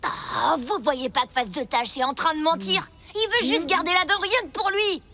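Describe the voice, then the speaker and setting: A woman's voice: very high-pitched, normal speed, pushed and bright, heavily acted. A woman playing a bossy, scheming child bully in a cartoon dub, taunting and demanding. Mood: menacing. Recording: music bed under the dialogue.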